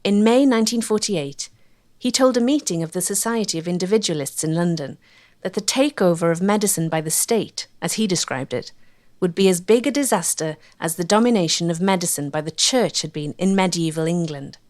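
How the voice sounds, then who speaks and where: A woman's voice: lowish voice, a little fast, darkish tone. A woman delivering scripted documentary narration.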